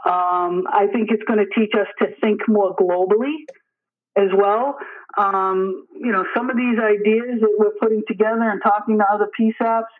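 A man's voice: sits high, steady tone, normal speed. A middle-aged man speaking earnestly and emphatically as an emergency dispatch leader answering interview questions, often repeating his phrases for weight. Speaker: emergency dispatch deputy superintendent. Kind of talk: interview answers, informal explaining. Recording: tinny narrow phone or radio line.

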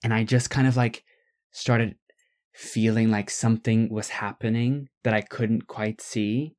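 A man's voice: on the low side, fairly even intonation, a little slow. Young man telling a rambling story. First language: not English. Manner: rambling, with frequent restarts and soft laughs. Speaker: podcast co-host. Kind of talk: casual storytelling.

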